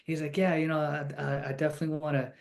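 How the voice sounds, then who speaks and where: A man's voice: fairly high pitch, little pitch movement, fast talker, vocal fry. A man, an actor and martial artist, talking casually and laid-back as an interview guest. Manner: laid-back, uses casual slang and fillers.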